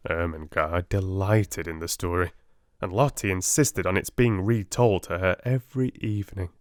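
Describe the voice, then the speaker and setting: A man with a British accent giving a soft-spoken storybook narration as an audiobook reader. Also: sits low, moderate pace, dry recording, studio quality.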